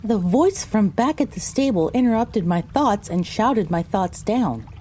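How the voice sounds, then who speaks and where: A woman's voice: medium pitch, lively intonation, unhurried, mellow, a little hiss or hum, lightly acted. A woman voicing a pony who narrates her own story, reading scripted first-person audio drama narration. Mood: wry.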